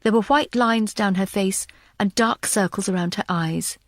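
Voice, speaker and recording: A woman's voice: medium pitch, moderate pace, no echo, clean studio sound. A woman reading scripted narration for a thriller audiobook.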